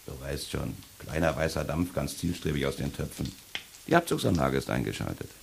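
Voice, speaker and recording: An actor playing a brooding, poetic narrator of a radio play, speaking in deliberate, incantatory fragments. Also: male voice, very deep voice, very animated, creaky, full character voice. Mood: wry, knowing. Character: brooding poetic male narrator, an inner voice of the city. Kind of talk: literary 1980s German radio play narration. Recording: hissy 1980s radio play tape.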